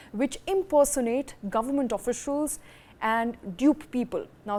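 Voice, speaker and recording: News anchor, woman, Indian accent, fairly high voice, reads bulletins and leads panel discussion. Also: mellow. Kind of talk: scripted news reading and studio discussion.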